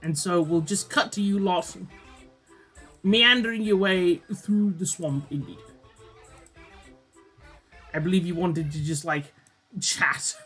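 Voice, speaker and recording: A woman's voice: lowish voice, slow pace, pauses often, a bit bright, husky, soft background music. A woman running a tabletop game as game master, narrating in a playful, theatrical way.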